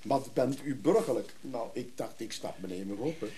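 A man's voice: medium pitch, lively intonation, normal speed, mellow, slight background noise, close to an everyday voice. An actor playing a chatty, wry middle-aged man who teases his brother in a naturalistic radio play. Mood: chatty, amused. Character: teasing, chatty middle-aged brother named Freek, recovering drinker.

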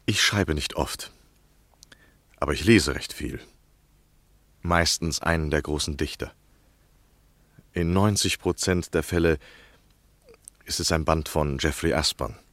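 A courtly, insistent literary journalist in a 1960s radio drama. His voice is very low and the sound is close and dry. He speaks with formal gallantry, always gently pressing for more. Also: measured pace, long pauses, no room sound, full character voice. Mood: gallant, conversational. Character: courtly, persuasive male literary journalist, eagerly probing. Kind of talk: 1960 German radio play acting.

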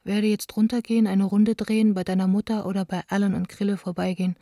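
A woman's voice: mid-range voice, little pitch movement, quick pace, warm tone, no echo, studio quality, lightly acted. An actress playing an impulsive, hurt young woman in love, reading her note in a radio drama, intimate and plaintive. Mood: hurt, longing.

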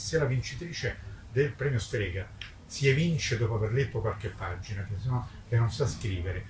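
Older man speaking emphatically, echoey and distant. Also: on the low side. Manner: emphatic, polemical, repeats words for emphasis. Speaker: independent publisher. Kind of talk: impromptu speech at a literary book presentation. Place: live book presentation at a festival event. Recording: distant mic, echoey, noisy ambience.

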